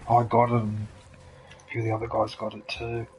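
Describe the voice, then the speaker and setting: A man's voice: on the low side, fairly quick. A man in his fifties, chatting and rambling with friends while gaming, often trailing off mid-sentence. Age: 50s. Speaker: gaming channel host. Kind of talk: casual chat while gaming. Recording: steady background noise.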